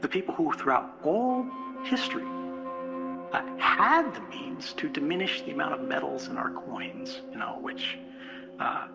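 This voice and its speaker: Gravelly man giving a speech over music. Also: a little high, sing-song. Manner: earnest, halting delivery with rhetorical questions. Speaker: public speaker. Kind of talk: political conference speech. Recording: loud music under a speech. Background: loud background music.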